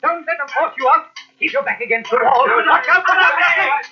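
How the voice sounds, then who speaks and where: A man's voice: very high-pitched, moderate pace, over loud music. A man playing a loyal, anxious young clansman in a swordfight, urgent and melodramatic, in 1940s radio style. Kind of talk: 1940s radio adventure acting. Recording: dull, noisy old radio recording.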